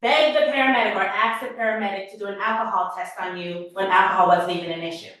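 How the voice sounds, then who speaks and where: A woman's voice: medium pitch, steady tone, quick pace, fairly bright tone. Woman cross-examining in a very echoey courtroom. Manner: pointed, leading questions. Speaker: prosecutor.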